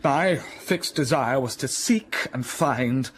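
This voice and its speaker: A man as a brooding gentleman in a radio drama, slow, measured, passionate bursts, mid-pitched voice. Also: a little hiss or hum, natural voice. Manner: slow, brooding storytelling with sudden rises of passion.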